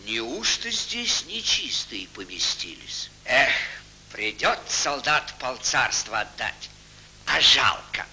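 A man's voice: medium pitch, sing-song, takes it slowly, sharp tone, full character voice. Fretful, swooping old cartoon king. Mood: anxious, musing. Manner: fussy, muttering, moaning interjections. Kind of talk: 1940s Soviet cartoon acting. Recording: noisy old 1940s film soundtrack.

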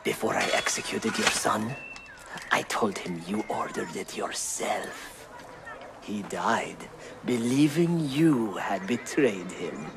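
Cruel game villain, gloating, gravelly, drawn-out delivery, loud score. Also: male voice, on the low side, expressive, over loud music, heavily acted. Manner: taunting, deliberate. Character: cruel gloating male villain, slow and taunting. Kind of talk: dramatic game villain acting.

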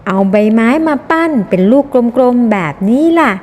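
A woman's voice: a little high, animated, moderate pace, darkish tone. A woman voicing a friendly, helpful small underground animal in a children's storybook, explaining things simply, with reverb on the recording. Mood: kindly. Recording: long reverberant hall echo.

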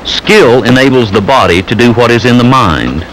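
A man reading scripted narration for a training film in a measured, earnest way. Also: medium pitch, lively intonation, a bit bright. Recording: noisy old 16mm film soundtrack.

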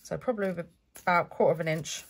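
Crafter host, woman, low-ish voice, stuffy nose, chatty and self-correcting. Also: fast, creaky. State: stuffy from a cold. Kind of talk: relaxed craft tutorial narration. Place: home craft desk, indoors.

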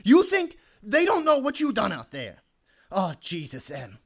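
A man's voice: high voice, wildly swooping pitch, measured pace, creaky, full character voice. A man playing a fretful, hot-tempered uncle who manages a young boxer, scolding in working-class street slang in a crime drama. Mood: furious. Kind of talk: period crime drama acting.

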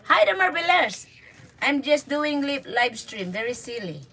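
A woman's voice: high voice, big pitch swings, a little slow, bright, forceful tone. Filipino lady in her 40s, giggly live stream chat, phone mic, bit of faint room hum. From Philippines. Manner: giggly, chatty, mixing in Tagalog words. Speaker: channel host. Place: indoors in a small RV. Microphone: phone at arm's length.

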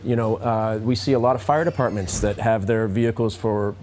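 A man's voice: fairly low pitch, steady tone, a little fast, a little mellow, slight background noise. A man in his thirties giving matter-of-fact, informative explanations as a tradesman in a casual workshop interview. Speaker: pump rebuilder, shop expert. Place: indoor auto rebuilding workshop.

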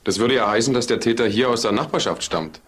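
A man's voice: medium pitch, fast, a bit bright, husky. An actor playing a dry, sceptical male detective sidekick in a German crime radio drama. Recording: very noisy background.

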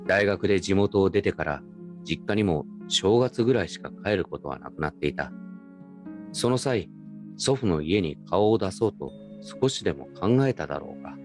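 A man's voice: sits low, animated, moderate pace, soft background music. A man playing a wry, nostalgic grown grandson, telling his story casually and reflectively in a radio drama. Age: adult. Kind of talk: first-person radio drama narration and dialogue.

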